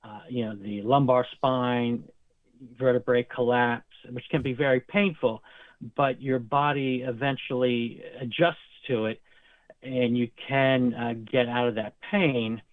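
A man's voice: medium pitch, steady tone, measured pace, no echo. Man on a tinny phone line. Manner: explains medical terms at length. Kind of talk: interview explanation.

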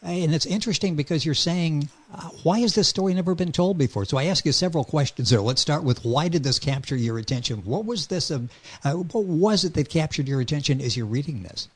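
A man's voice: a little high, lively intonation, fairly quick, husky. A man hosting a radio talk show, interviewing an author with upbeat, emphatic introductions.

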